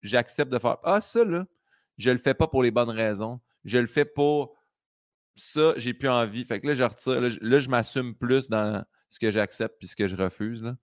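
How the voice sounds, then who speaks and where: A man in his late thirties with a Québécois accent, hosting a relaxed podcast chat with lots of fillers. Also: mid-range voice, moderate pace, no room sound, studio quality. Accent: Québécois French. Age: 30s. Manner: relaxed, chatty, with frequent fillers. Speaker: podcast host. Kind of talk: casual conversation.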